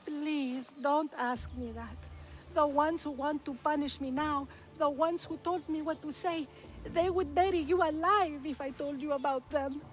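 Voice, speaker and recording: High-pitched, pleading elderly grandmother. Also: measured pace, low-quality recording. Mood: fearful, pleading. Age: older. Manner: pleading, contrite. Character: remorseful elderly grandmother, a fearful disgraced oracle. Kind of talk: video game cutscene acting.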